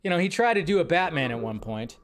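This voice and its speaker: A man with a somewhat high voice hosts a solo live stream. He talks loosely and thinks out loud, often restarting his sentences. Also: very animated, quick pace. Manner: rambling, thinking aloud with restarts. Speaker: host.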